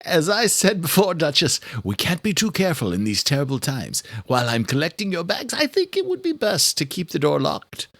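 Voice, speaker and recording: A man's voice: fairly high pitch, wildly swooping pitch, a little fast, few pauses, fairly bright tone, no echo, clean studio sound, voice pushed up, exaggerated voice. A man voicing a murderer who poses as a courteous chauffeur, sly and overly polite, in an audiobook thriller. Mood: smoothly courteous. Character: male killer posing as courteous chauffeur, sly and menacing.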